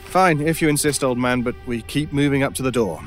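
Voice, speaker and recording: A man's voice: mid-range voice, a little fast, soft background music, close to an everyday voice. A man playing an imperious, obsessive stranger in an old time radio drama, brusque and commanding, sometimes muttering to himself. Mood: urgent, demanding.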